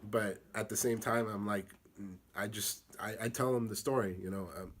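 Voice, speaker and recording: A young man from the United States answering interview questions in a halting, casual way, with many fillers and restarts. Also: fairly low pitch, fairly even intonation.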